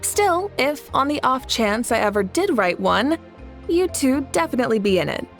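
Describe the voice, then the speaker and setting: A woman playing a confident, kindly, good-humored ruler in a video game, poised and gently teasing. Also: sits high, sing-song, normal speed, faint music behind. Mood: amused. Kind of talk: video game character acting.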